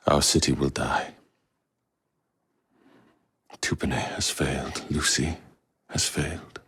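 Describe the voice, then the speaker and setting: An actor playing a grim Victorian villain, delivering a solemn, ominous video game monologue. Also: male voice, very deep voice, fairly even intonation, slow pace, fairly bright tone, husky, no room sound, full character voice. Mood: bleak, ominous. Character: grim, brooding Victorian male villain mourning his empire.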